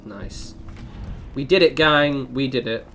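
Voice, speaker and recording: A man's voice: medium pitch, slow pace. A young man chatting casually as he streams a game, telling rambling stories and often interrupting himself. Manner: rambling, animated storytelling with self-interruptions. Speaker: game livestreamer. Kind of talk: casual livestream chat over gameplay.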